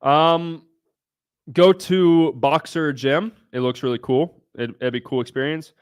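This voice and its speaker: A man's voice: on the high side, steady tone, unhurried, clear, resonant tone, dry recording, clean studio sound. A young man from Southern Ohio hosting a casual livestream, answering viewers' questions in a brash, blunt way and swearing freely. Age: young adult. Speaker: livestream host.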